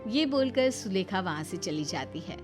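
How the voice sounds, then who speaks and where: A woman's voice: medium pitch, lively intonation, normal speed, over music. A woman narrator reading a scripted family story.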